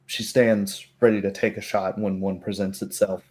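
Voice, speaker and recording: A man narrating a tabletop roleplay game as game master, telling it in a measured, descriptive way. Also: fairly low pitch, level tone, darkish tone.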